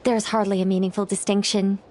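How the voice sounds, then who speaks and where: A woman's voice: mid-range voice, little pitch movement, fairly quick, darkish tone, lightly acted. A woman playing an eccentric, theatrical novelist in a video game, speaking formally and with great self-assurance. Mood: dramatic, lofty.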